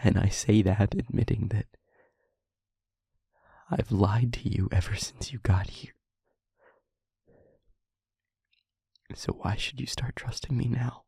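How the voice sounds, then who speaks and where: A man playing a guilt-ridden demon lover in an intimate audio roleplay, speaking in a halting, breathy, confessional hush. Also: lowish voice, fairly even intonation, no room sound, clean studio sound, exaggerated voice. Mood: anguished, helpless. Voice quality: breathy, husky, whispery.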